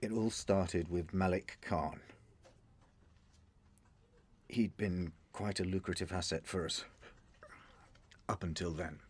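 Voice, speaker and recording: Injured intelligence officer, radio drama, male actor, British. Shaken, halting, emotional; low-pitched, slow, deliberate delivery. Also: a little hiss or hum.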